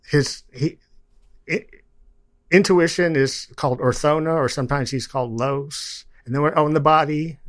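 Halting man with a close, dry sound. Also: medium pitch, fairly bright tone, no room sound. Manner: halting, digressive delivery with repeated words. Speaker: podcast guest discussing poetry. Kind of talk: reciting poetry, then informal explanatory discussion.

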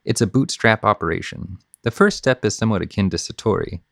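Low-voiced man reading, dry sound. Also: a little fast, creaky, fairly bright tone, no room sound. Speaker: podcast host and reader. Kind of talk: scripted reading of essays and news with commentary.

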